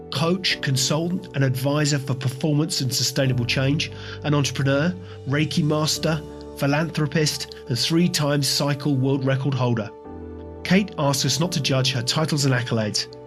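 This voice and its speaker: A man hosting an interview podcast, asking long, meandering questions and often repeating words. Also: a little high. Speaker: podcast host.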